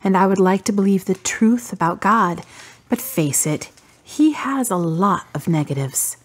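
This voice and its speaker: A woman acting in a radio drama as a grieving adult daughter full of doubt, pensive and questioning, with a breathy voice. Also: medium pitch, expressive, a little slow. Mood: doubting, challenging. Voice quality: husky, breathy.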